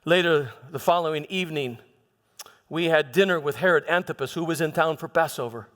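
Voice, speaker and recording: A man playing a troubled Roman governor who recounts his past in a halting dramatic monologue, repeating words and pausing for emphasis. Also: a little high, a bit bright, lightly acted. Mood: uneasy recounting. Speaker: church dramatist performing a monologue. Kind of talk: first-person dramatic monologue in church. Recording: echoey hall with long reverb.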